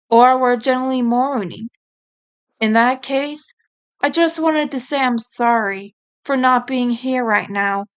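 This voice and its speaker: A woman voicing a caring female rabbit's farewell letter in an audio story, earnest, gentle and apologetic. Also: high voice.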